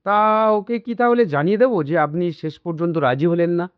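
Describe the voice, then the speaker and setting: An actor playing a considerate younger family friend in a radio drama, speaking politely and reassuringly. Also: male voice, high-pitched, normal speed, clear, resonant tone, no room sound, natural voice. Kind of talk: Bengali radio drama acting. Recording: dull lo-fi audio with background music.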